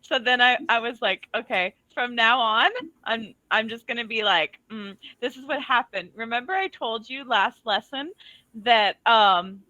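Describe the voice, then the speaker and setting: A woman's voice: fairly high pitch, unhurried, sharp tone, highs cut off. A woman tutoring English over a video call, chatting casually and laughing often as she tells stories. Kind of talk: casual online lesson chat.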